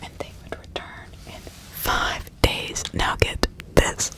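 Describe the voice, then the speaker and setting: Lady doing whispered asmr true crime storytelling, really slow, crisp bright whisper with pauses mid sentence. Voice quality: breathy, unvoiced whisper. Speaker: ASMR host.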